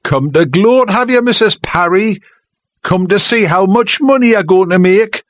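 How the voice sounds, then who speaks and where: A man's voice: medium pitch, big pitch swings, unhurried, no echo, studio quality, pitched above the performer's own voice. A man reading aloud, voicing a big, sneering village mechanic who mocks and shows off to a crowd. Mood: sneering, taunting. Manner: mocking, loud, taunting.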